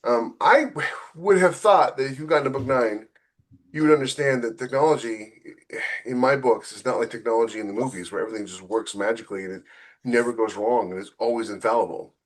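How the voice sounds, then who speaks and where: A man's voice: mid-range voice, a little fast. Casual, wry male author co-hosting a podcast. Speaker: novelist and podcast co-host.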